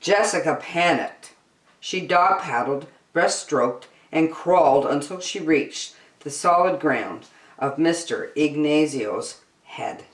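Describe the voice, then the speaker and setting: A woman reading a children's picture book aloud as host, deliberately phrase by phrase, in an echoey room. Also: low voice, animated. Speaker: storytime host and reader. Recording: roomy echo.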